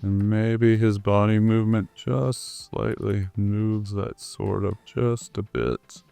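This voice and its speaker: Hoarse male tutor, low and unhurried, easygoing, with filler words. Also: faint music behind. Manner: relaxed, with many ums and uhs. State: hoarse, recovering from flu. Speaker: animator. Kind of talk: screen-recorded tutorial walkthrough.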